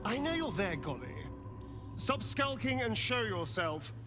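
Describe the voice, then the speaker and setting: An actor playing a bitter, sardonic vampire spawn in a video game, with a theatrical, biting delivery. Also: male voice, sits high, sing-song, unhurried. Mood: bitter anger. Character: sardonic, bitter male vampire spawn, two centuries old. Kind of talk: video game character acting. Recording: game music under the voice.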